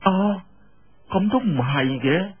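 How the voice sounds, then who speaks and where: A man voicing a proud, scornful young woman in a story, with a husky voice and indignant, drawn-out phrasing. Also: sits high, lively intonation, pitched above the performer's own voice, full character voice. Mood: thoughtful. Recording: muffled, dull lo-fi audio.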